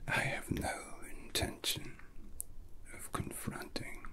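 A young man voicing a cold, megalomaniac male villain in a soft, breathy, threatening ASMR whisper, slow and theatrical. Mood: cold threat. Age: young adult.

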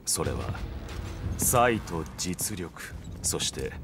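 An actor playing a cold, calculating strategist in a video game, speaking in a deliberate, ominous manner. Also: male voice, low voice, expressive, full character voice. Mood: cold, insinuating. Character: cold, sinister, calculating male strategist in dark armor. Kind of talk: dramatic Japanese video game cutscene acting. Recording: studio voice over loud game music.

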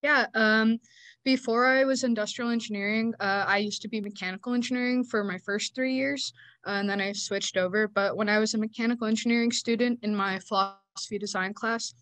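A woman's voice: fairly high pitch, fairly even intonation, a little fast, fairly bright tone, dry recording. A young woman answering informally as a student panelist on a video call. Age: young adult.

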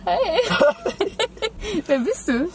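A woman's voice: extremely high pitch, big pitch swings, very slow, heavy background noise. A woman in her twenties bantering casually as a blind-date contestant, recorded inside a car. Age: 20s. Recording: loud music over the voice.

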